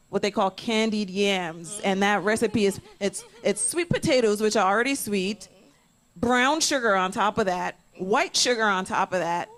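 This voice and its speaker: A woman interviewer talking conversationally, reasoning aloud step by step in a reflective manner. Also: medium pitch.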